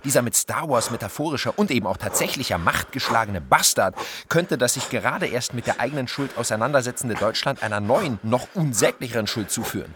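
An actor playing an agitated, grandiose, paranoid writer in mania, delivering an intense, theatrical radio drama monologue. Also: male voice, mid-range voice, expressive, a little fast, hardly any pauses, background noise, close to an everyday voice.

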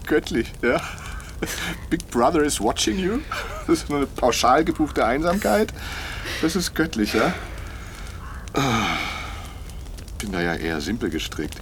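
An actor playing a talkative, sarcastic city husband in a naturalistic radio drama, rambling and mocking. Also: male voice, medium pitch, very animated, measured pace, long pauses, noisy background.

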